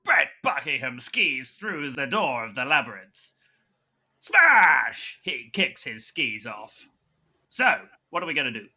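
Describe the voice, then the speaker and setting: A man's voice: medium pitch, very animated, takes it slowly, pushed and bright. A man improvising dramatic narration and banter in a comedy audio drama, with a hammy, flustered manner.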